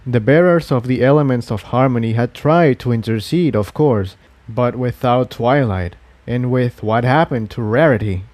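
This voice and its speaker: A man's voice: mid-range voice, normal speed, a little mellow, no echo. A man reading scripted adventure fiction aloud as a dramatic story narrator.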